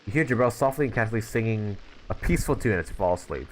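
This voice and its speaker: A man's voice: fairly low pitch, lively intonation, fast, a little hiss or hum, rough recording. A man doing a live game playthrough, reading dialogue aloud and sometimes stumbling over lines. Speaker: streamer playing a video game.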